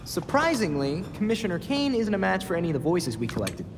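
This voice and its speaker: An earnest, lively young vigilante. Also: male voice, sits high, fast talker, heavily acted. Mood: thoughtful. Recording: reverb with ambient noise.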